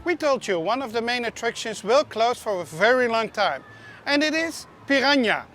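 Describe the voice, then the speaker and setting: A woman's voice: on the high side, expressive, normal speed, music in the background. Chatty woman. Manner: chatty, opinionated, small slips and restarts. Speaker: theme park news presenter. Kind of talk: casual news vlog narration.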